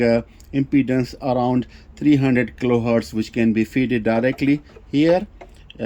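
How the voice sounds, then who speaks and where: A man giving an unscripted technical demonstration as a presenter, speaking in a halting way and circling back over his phrases. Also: medium pitch, dark tone, lo-fi sound. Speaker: vintage radio reviewer and presenter.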